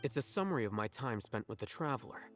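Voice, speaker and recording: Guy voicing an aloof scribe in a game, calm and matter of fact, very formal, bit of music behind. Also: medium pitch, fast, natural voice. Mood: cool, detached. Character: composed male scholar-scribe, cool, aloof, dryly detached. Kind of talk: video game voice acting.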